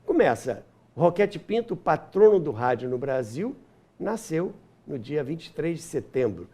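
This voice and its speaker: Male guest, late seventies, Brazilian. Mellow voice with very lively intonation, emphatic storyteller in conversation. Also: on the high side, a little slow, husky. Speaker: interview guest, radio veteran and author. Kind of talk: conversational reminiscing interview.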